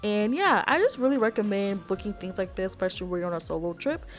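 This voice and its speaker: A young woman talks through her solo trip in a relaxed, enthusiastic voice-over, sprinkling in "like" and "um". Soft music plays quietly beneath her. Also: mid-range voice, quick pace. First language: not Japanese. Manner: chatty and enthusiastic, with frequent fillers. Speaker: vlogger. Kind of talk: travel vlog voice-over. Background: background music.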